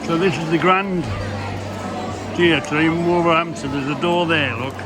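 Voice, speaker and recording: A man's voice: on the high side, fairly even intonation, a little slow. A man chatting casually as a vlogger, rambling, repeating himself and putting questions to the listener. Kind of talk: casual chatty vlog commentary. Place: out on a city street and inside a busy theatre auditorium. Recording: background music and crowd chatter in public spaces.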